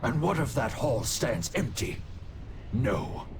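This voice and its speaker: Slow, swooping undead warrior. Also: male voice, medium pitch, sing-song, vocal fry, full character voice. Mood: defiant. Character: proud, scornful undead soldier of a warrior army. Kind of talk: dramatic fantasy game dialogue. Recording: noisy game ambience behind.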